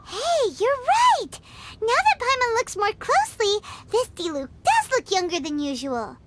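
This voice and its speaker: A woman voicing a tiny, chirpy, excitable female fairy companion in a video game, with a squeaky childlike voice and expressive, exclamatory delivery. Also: unhurried, very noisy, exaggerated voice. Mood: amazed, excited. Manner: refers to self in third person, exclamatory, expressive. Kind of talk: video game character dialogue. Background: game music.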